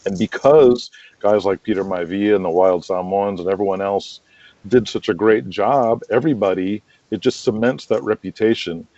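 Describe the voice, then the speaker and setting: Guy being interviewed on a podcast, chatty and keen, deep-ish warm voice, kinda dull audio. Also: moderate pace, no echo. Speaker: podcast guest, wrestling promoter and radio drama creator. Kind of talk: casual interview conversation.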